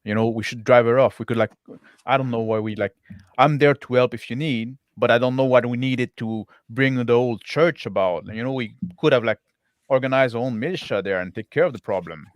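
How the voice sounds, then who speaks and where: A man improvising as a zealous, plain-spoken villager in a tabletop roleplaying game, informal and halting, often saying "like". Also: medium pitch, no room sound. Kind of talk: casual improvised roleplay and narration.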